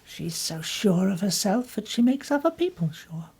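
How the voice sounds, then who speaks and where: A woman playing a bitter young woman, intense and husky. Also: medium pitch, sing-song, moderate pace, a little mellow, voice pushed up, heavily acted. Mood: impassioned. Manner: intense, pleading, emphatic.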